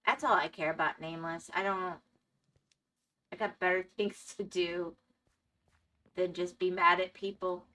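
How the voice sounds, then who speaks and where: Bright-voiced woman losing her voice. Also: fairly low pitch, steady tone, unhurried, long pauses. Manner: chatty, reads and answers chat. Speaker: game streamer. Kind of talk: casual live-stream chat.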